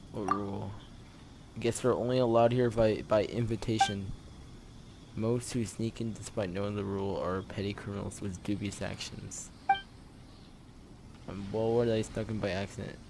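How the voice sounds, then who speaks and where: A man doing casual gameplay commentary, reading dialogue aloud and reacting in an informal, halting way. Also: on the low side, steady tone, vocal fry, heavy background noise. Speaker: gameplay streamer.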